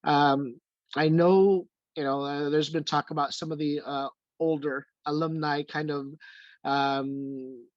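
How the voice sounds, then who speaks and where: A man's voice: fairly high pitch, steady tone, slow, no echo, highs cut off. A man in his forties from the Philippines, speaking casually as an organization's treasurer, hesitant with many fillers and restarts. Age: 40s. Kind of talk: casual video call remarks. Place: home room. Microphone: video call.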